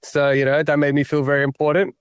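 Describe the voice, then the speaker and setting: A young Australian man talks about his writing in a smooth, resonant voice. He is reflective and self-deprecating, thinking aloud with many fillers. The sound is close and dry. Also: on the high side, flat delivery, fast talker, no room sound. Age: young adult. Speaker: playwright guest. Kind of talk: interview.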